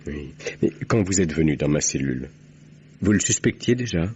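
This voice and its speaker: An actor playing a weary, bewildered man cleared of murder in an old French radio crime drama. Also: a bass voice, animated, moderate pace, darkish tone, slight background noise. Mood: bewildered, questioning. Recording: muffled old radio recording.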